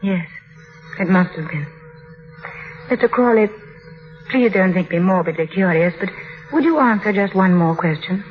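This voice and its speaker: A woman's voice: medium pitch, lively intonation, moderate pace, long pauses, a little hiss or hum, close to an everyday voice. An actress playing a timid, anxious young bride in an old radio drama, earnest and hesitant as she narrates and speaks. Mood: tentative, curious. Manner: hesitant, earnest, breathlessly nervous. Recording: dull vintage radio broadcast with music.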